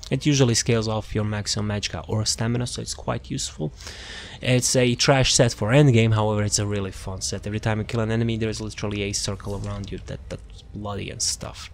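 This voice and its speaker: A man's voice: on the low side, steady tone, fast, creaky. A man giving a casual, unscripted video game build walkthrough, rambling and repeating words as he looks for things. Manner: rambling, repeats words and phrases while searching. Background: background music.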